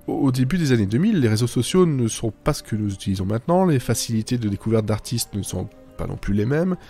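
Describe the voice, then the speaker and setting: A man's voice: mid-range voice, darkish tone. A man narrating a scripted humour podcast in a wry, self-deprecating manner.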